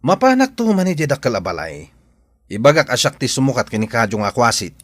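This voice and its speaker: A man's voice: medium pitch, sing-song, a little fast, a bit bright, dry recording. A man acting a boastful, jealous grown son who scorns his rival, in a Philippine radio drama. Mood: scornful.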